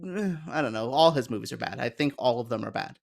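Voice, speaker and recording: A man in his thirties with an average-pitched voice talks as a panelist on a casual film podcast. He is opinionated and loose, breaking off and restarting his thoughts as he rambles. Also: expressive, fast, creaky. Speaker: podcast panelist discussing bad films.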